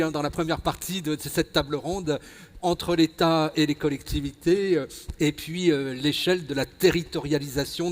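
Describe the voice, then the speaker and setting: In a reverberant hall, a man with a fairly high voice and a French accent from France moderates a panel. He sums up points and prompts, restarting his phrases as he goes. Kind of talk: conference moderation, summing up and prompting. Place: convention plenary hall.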